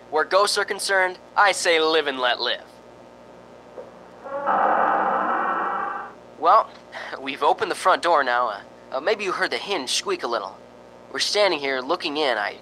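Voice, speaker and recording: Jittery, wisecracking radio reporter, bright and animated man acting. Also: on the high side, moderate pace, slight background noise, poor audio. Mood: uneasy, wry. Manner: folksy, wisecracking, nervous stammering. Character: jittery, joking, skeptical male radio reporter on a ghost hunt. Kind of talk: amateur radio drama acting.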